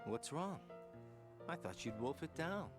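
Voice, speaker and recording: A man's voice: fairly high pitch, big pitch swings, measured pace. A man playing a wry, laid-back young man who teases his friends casually in a video game. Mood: warm, easygoing. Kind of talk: English video game dub acting. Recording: game music playing behind.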